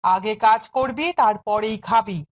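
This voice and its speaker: A woman's voice: mid-range voice, a little slow, noisy background, close to an everyday voice. A woman playing a cruel, domineering stepmother in a fairy-tale cartoon, speaking in a harsh, scolding, sneering way. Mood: bossy scolding.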